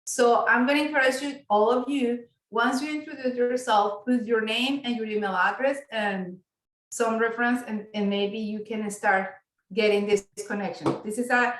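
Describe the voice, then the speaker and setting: A woman's voice: fairly high pitch, steady tone, moderate pace. A woman who speaks English as a second language, leading an online business workshop as instructor in an encouraging, appreciative manner. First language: not English. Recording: roomy echo on online video call.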